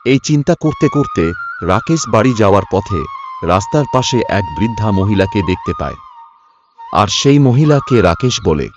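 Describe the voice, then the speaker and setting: A man's voice: lowish voice, lively intonation, moderate pace. A man performing dramatized narration and dialogue for a cartoon story. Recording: dull lo-fi track with music.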